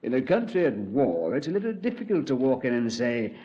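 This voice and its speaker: A man's voice: mid-range voice, sing-song, a little fast, warm tone, close to an everyday voice. A man playing a dry Scotland Yard chief. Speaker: actor in radio drama. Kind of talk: 1950s radio drama acting. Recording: dull lo-fi 1950s radio recording.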